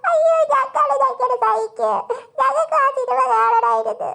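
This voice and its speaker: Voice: extremely high pitch, moderate pace, gravelly, bright, forceful tone, full character voice. A performer voicing a whiny, demanding small child in a comic family cartoon, pleading with melodrama. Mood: wronged, pleading.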